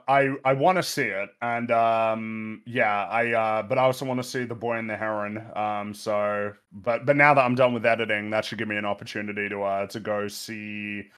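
A man's voice: on the low side, normal speed, clear, resonant tone. A man co-hosting a casual stream chat, speaking mildly and hesitantly with many fillers. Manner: hesitant, lots of fillers, mild. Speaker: co-host.

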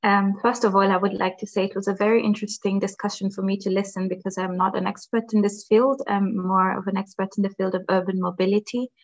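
A woman, a journal editor, giving unhurried, explanatory closing remarks on an online panel. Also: mid-range voice, little pitch movement, dull audio. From Kenya.

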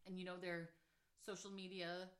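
A woman co-hosting a casual sports podcast, animated and laughing often as she trash-talks. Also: lowish voice, moderate pace. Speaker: podcast co-host. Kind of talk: casual chat.

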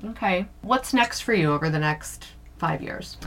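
A woman's voice: fairly low pitch, expressive, moderate pace, a bit bright. A woman hosting a podcast interview, asking questions and giving short encouraging replies. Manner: brief encouraging backchannels. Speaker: podcast host. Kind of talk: casual interview.

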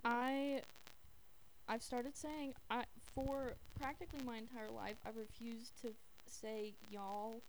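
A young woman with a fairly high voice co-hosts an informal podcast. She tells anecdotes conversationally, quoting herself and others with plenty of "like". The amateur recording carries some faint noise. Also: steady tone, slow, rough recording. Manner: informal, heavy use of "like" when quoting. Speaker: podcast co-host. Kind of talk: casual chat, telling anecdotes.

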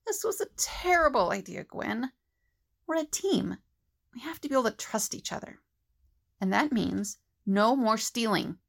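A woman's voice: a little high, lively intonation, unhurried, creaky, full character voice. A woman playing an earnest, level-headed team leader in an audio drama. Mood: disappointed.

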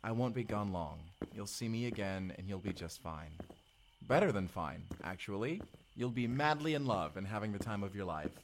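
A man's voice: on the low side, lively intonation, normal speed, background noise. A man playing an earnest, affectionate young male time traveler, speaking to the listener in an audio roleplay. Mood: tender, reassuring. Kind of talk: first-person audio drama dialogue, spoken to the listener.

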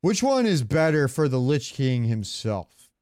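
A man's voice: mid-range voice, sing-song, measured pace, a little mellow, no echo, clean studio sound. A man hosting a casual gaming podcast, talking in an opinionated, rambling way with plenty of swearing. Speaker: podcast host.

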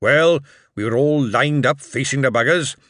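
Man voicing an old dwarf cobbler, loud and gruff. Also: mid-range voice, moderate pace, no echo, clean studio sound, close to an everyday voice. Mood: gruff reminiscing. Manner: gruff, telling a war story with rising excitement. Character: old male dwarf cobbler, blunt and earnest.

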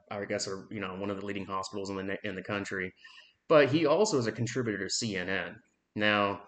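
A man in his thirties giving a blunt, frustrated opinion monologue on his own podcast, swearing freely and pausing often on "um.". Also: on the low side, fairly bright tone. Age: 30s. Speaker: podcast host.

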